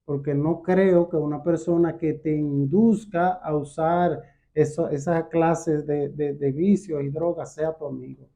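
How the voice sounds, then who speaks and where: A man with a Dominican accent giving a personal testimony as a guest, halting and repeating words as he goes. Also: fairly high pitch, fairly even intonation, dark tone. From Dominican Republic. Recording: dull, muffled lo-fi sound.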